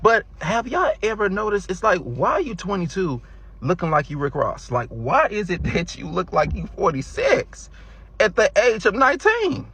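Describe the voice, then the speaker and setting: A man of thirty on a casual, incredulous rant, halting and peppering it with "like". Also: high voice, big pitch swings, fairly bright tone, slight background noise, rough recording.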